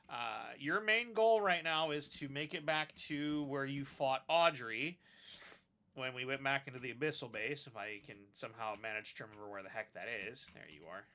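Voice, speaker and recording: Man, sinusy, casual game commentary, rambling, mild swearing, bright tone. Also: on the high side. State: sinusy from allergies. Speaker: gaming video host. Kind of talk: casual let's-play commentary.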